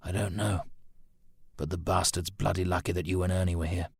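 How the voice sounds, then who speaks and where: A man reading the part of a gruff, blunt, hot-tempered but protective uncle in a narrated story. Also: fairly low pitch, normal speed, no echo, clean studio sound, natural voice. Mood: bitter. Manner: growling, blunt, threatening or gently protective.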